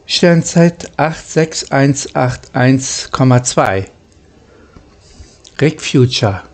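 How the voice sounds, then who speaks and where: A man narrates a video game as a casual let's play host. He speaks slowly and haltingly at a middling pitch, sometimes reading in role. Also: creaky, a little mellow.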